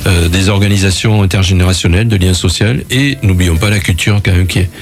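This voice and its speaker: A deep-voiced man from southwest France speaks as a radio guest for a local association. He explains things off the cuff, repeating words as he goes, with faint music behind. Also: fast. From Gers, southwest France. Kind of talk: unscripted explanatory interview talk.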